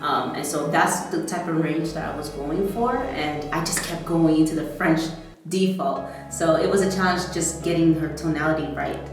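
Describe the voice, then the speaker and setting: Low-voiced american actress chatting away, laughs easy, super echoey far-off mic, music under it. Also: female voice, moderate pace. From United States. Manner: animated, chatty, laughs easily. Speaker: stage actor. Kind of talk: behind-the-scenes interview. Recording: very echoey room, distant mic, music behind.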